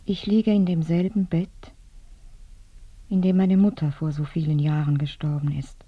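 An actress playing a lonely dead woman who grieves for her mother in a halting, dreamlike murmur in a radio play. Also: deep voice, level tone, no room sound, muffled recording. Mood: mournful, dreamy. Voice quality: breathy, whispery. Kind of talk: radio drama monologue.

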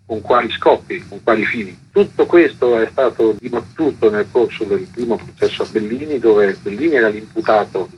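A man giving careful, articulate explanations as a journalist interviewed on the radio, in a measured way. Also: lowish voice. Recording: muffled, noisy radio line.

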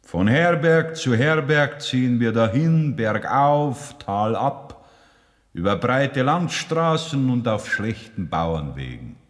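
Man, bavarian accent, preachy narrator, slow, lively swings in pitch, long echoing hall. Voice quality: solemn, preachy, slow and deliberate. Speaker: narrator preaching a moral tale. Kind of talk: 1960s radio play narration, sermon-like.

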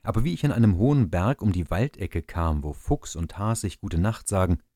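A man narrates a fairy tale in a calm, measured way. His voice is low and dark, with a slow, even pace. The recording is dry and close to the mic. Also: dry recording. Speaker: audiobook narrator.

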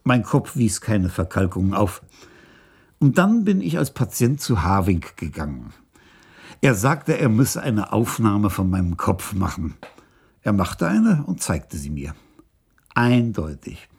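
An actor playing a senior police chief in a German radio crime drama, husky, wry and scornful as he reflects. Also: fairly low pitch, very animated, a little slow, a little mellow, full character voice. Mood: wry, reflective.

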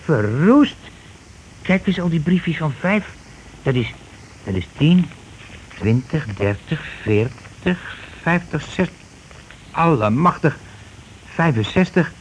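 An actor playing a scheming, money-grabbing husband and landlord in a radio play, talking in wheedling, self-justifying colloquial patter. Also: male voice, a little high, sing-song, slow pace, long pauses. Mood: excited, greedy. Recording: noisy old radio drama recording.

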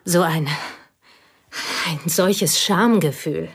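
An actress playing an intense, possessive woman in a German radio drama, confiding in a deliberate, brooding way that sinks toward near-whispers. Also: lowish voice, breathy edge, heavily acted. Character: intense, possessive woman confiding about her idolized childhood friend. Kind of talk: German radio drama dialogue, intimate confession.

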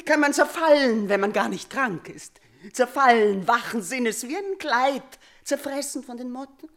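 Nightmare-ridden older queen, radio play, very theatrical and imperious, swings from hushed to crying out. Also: fairly high pitch. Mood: anguished. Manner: declamatory, swinging between hushed dread and piercing outcries. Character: tormented ageing mother and queen, haunted by nightmares, superstitious, imperious.

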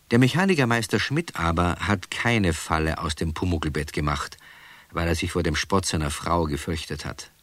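A man's voice: low voice, fairly bright tone, no room sound. A man narrating a scripted children's story as the storyteller of a radio play.

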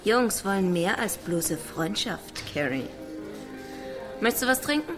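A woman's voice: medium pitch, animated, measured pace, close to an everyday voice. An actress playing a condescending young barmaid in a radio drama, knowing and teasing in the way she talks. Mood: smug, needling. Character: smug, condescending young barmaid who runs a pub bar. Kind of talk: naturalistic radio drama acting in German. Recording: music playing behind in bar scene.

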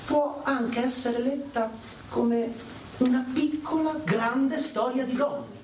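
Male narrator: high voice, solemn scripted history with dramatic pauses, roomy sound over loud guitar. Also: steady tone, recorded from a distance, slight background noise. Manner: solemn, measured, theatrical pauses.

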